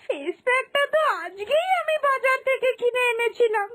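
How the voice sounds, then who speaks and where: A woman voicing a feisty, scheming younger daughter-in-law in a children's cartoon, taunting and expressive. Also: very high voice, normal speed, no room sound, studio quality. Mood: wailing, distressed. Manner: taunting, sharp-tongued, expressive. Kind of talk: Bengali moral-story cartoon dubbing.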